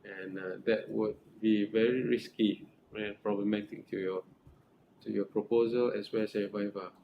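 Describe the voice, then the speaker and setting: A middle-aged man with a Malaysian accent speaks as a supervisor guiding a student, his voice sitting fairly low. He talks earnestly, circling back over points and often checking in with "right?". The room sounds echoey, with a faint hiss underneath. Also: little pitch movement, moderate pace, gravelly, dull audio. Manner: earnest, repetitive, with frequent restarts and rhetorical "right?". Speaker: academic supervisor. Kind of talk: advising a research student in group supervision.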